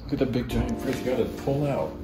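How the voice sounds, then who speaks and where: A young man chatting casually on a phone video, dry and joking, with loud bursts. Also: medium pitch, fast, low-quality recording. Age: young adult. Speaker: partner of the vlogger. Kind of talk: casual chat. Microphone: partner's phone camera. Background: loud music bed.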